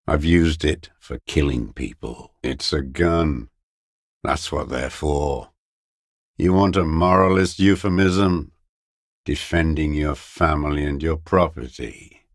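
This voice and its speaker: An actor playing a bitter old communist deserter in a video game, scornful and unrepentant, with a gravelly voice and a contemptuous, sneering manner. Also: male voice, rumbling low, no echo, clean studio sound, full character voice. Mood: cold, defiant. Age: older. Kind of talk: dramatic video game character dialogue.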